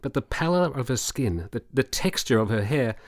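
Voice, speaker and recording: Courteous, learned period doctor played by a man, animated intonation, dry recording, articulate and theatrical radio drama style. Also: medium pitch, normal speed, no room sound, natural voice. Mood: grave warning. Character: learned, courteous army doctor, grave and kindly.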